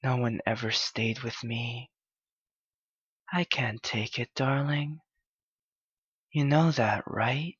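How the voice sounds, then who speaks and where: A man playing a clingy, heartbroken young yandere lover in an intimate ASMR roleplay, halting and pleading in his anguish. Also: medium pitch, steady tone, a bit bright, husky, no room sound, muffled recording. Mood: wounded, pleading.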